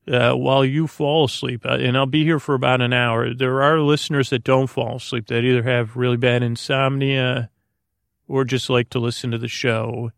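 A man hosting a sleep podcast in a meandering, halting, droning monologue full of tangents and repeats. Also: flat delivery, vocal fry, no echo, studio quality. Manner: droning, low-key. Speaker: podcast host.

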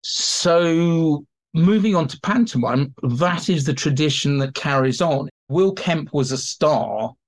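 A man speaking as an expert guest, explaining informally in an animated way and slipping into acted-out examples. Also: fairly high pitch, slow pace. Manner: animated, acts out imagined quotes. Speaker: expert guest, theatre historian. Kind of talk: informal explanatory interview talk.

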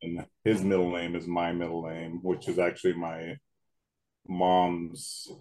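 Deep-voiced booming man in his thirties. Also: a little slow. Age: 30s. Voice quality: big booming voice. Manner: relaxed, rambling, with frequent restarts. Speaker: podcast guest. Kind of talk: casual chat.

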